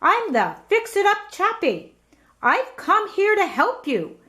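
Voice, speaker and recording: A woman's voice: extremely high pitch, big pitch swings, takes it slowly, sharp tone, pitched above the performer's own voice, exaggerated voice. A woman voicing a sly, keen male salesman from a children's storybook, with showy, persuasive patter. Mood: eager, salesy.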